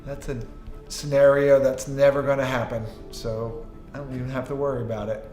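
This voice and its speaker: A man playing a stern, religious middle-aged father in a drama skit, halting and disapproving. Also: medium pitch, level tone, natural voice. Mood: dismissive. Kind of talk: earnest low-budget morality skit acting. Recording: echoey room under loud music.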